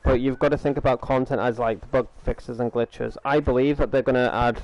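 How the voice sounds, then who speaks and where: A man's voice: medium pitch, steady tone, a little fast, a little mellow, poor audio. Rambling young man streaming a game. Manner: rambling, earnest, repeats himself. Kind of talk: casual live-stream chat. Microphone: gaming headset.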